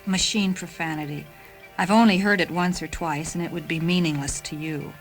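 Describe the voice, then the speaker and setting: Woman doing a young lady car ai, gentle n earnest, formal wording, proud, radio play w soft music under. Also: on the low side, fairly even intonation, normal speed, lightly acted, low-quality recording. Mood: prim. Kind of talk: radio drama acting.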